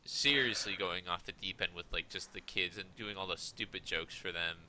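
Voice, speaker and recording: Low, bright, forceful-voiced man. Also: normal speed, low-quality recording. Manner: blunt, opinionated. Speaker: podcast co-host. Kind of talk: casual podcast banter.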